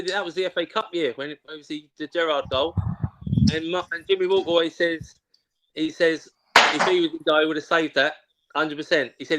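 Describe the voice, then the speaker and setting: A man's voice: fairly high pitch, fairly bright tone, poor audio. A man, a former player chatting on a fan show, telling rambling stories with plenty of banter. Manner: chatty, anecdotal storytelling full of banter. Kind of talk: casual chat.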